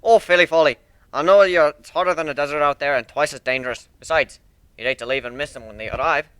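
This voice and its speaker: Guy putting on a hearty bragging minotaur ship builder for a story reading, folksy, pitched up n real punchy. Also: fast, a bit bright, clean tone, no echo, lightly acted. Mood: welcoming, hearty. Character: boastful, hearty minotaur airship builder and captain, greedy but charming. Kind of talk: dramatic fan fiction reading.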